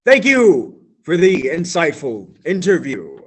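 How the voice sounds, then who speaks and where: Brief: a young man as a pompous radio announcer, a touch high, big swooping delivery. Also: huge pitch swings, exaggerated voice. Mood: announcing. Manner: dramatic pauses, theatrical announcing. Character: flustered male radio announcer, grandly solemn. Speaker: student actor. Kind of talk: stage radio-play acting.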